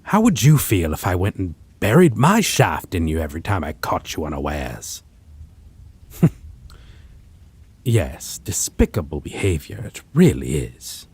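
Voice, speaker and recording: Vampire lead, male actor: low, husky and very slow, with theatrical swings, possessive and condescending, for audio roleplay. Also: big pitch swings, a little mellow, dry recording, full character voice. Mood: exasperated, sardonic. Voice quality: husky, breathy. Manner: slow, theatrical, archaic wording, condescending endearments. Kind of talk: dramatic audio roleplay acting addressed to listener.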